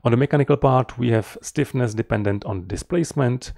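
A middle-aged man giving an explanatory technical presentation as a researcher, in a measured, slightly halting manner, close to the mic. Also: medium pitch, vocal fry, a little mellow, no room sound. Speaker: researcher presenting a conference paper.

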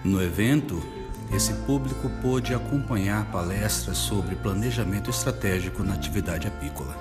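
A Brazilian man narrates a scripted report in a low voice. Guitar music plays clearly behind him. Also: moderate pace.

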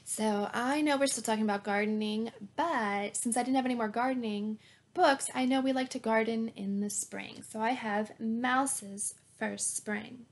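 A woman's voice: a little high, steady tone, a little slow. A woman in her thirties reading a picture book aloud to young children, encouraging them with questions and prompts. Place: small plain room indoors at home. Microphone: webcam at arm's length.

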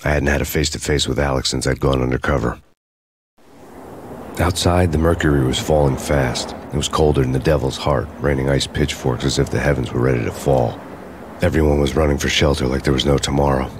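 An actor playing a world-weary undercover detective, deadpan and brooding, narrating a grim hard-boiled noir game story. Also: male voice, very deep voice, brisk, background noise.

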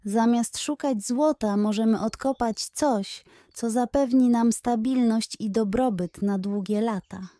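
A wise, reassuring pig detective from a children's bedtime story, played by a woman. He speaks slowly and persuasively, in a soft, mellow voice that sits fairly high for such a character. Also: no room sound, studio quality, close to an everyday voice. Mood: earnest, explanatory.

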